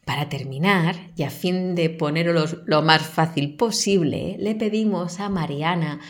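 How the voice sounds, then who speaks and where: A woman's voice: fairly low pitch, unhurried. A woman with an accent from Spain, presenting as a podcast host in scripted narration. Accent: Spain Spanish.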